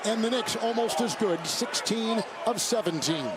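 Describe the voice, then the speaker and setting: A man's voice: high voice, normal speed, low-quality recording. A man doing live play-by-play basketball commentary as an announcer. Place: basketball arena. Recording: loud crowd noise, ball bounces, game broadcast. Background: roaring arena crowd and bouncing basketball.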